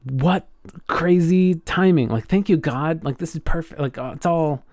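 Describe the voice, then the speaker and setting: A man's voice: a little high, moderate pace, darkish tone, no room sound, clean studio sound. A man co-hosting a podcast in casual chat, effusive and enthusiastic, often restarting his phrases. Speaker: podcast co-host.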